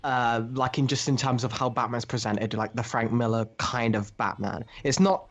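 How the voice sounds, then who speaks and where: A man's voice: medium pitch, fairly even intonation, moderate pace. A man with a mid-range voice talks in a casual comic book discussion. He is opinionated and emphatic, and swears freely as he argues his points as a co-host. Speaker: podcast co-host.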